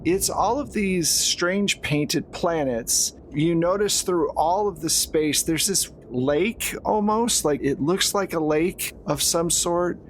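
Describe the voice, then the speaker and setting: A man's voice: on the high side, slow pace, hardly any pauses. A man narrating a horror tabletop roleplaying game as game master, in a conversational way with casual asides. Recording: clear background noise.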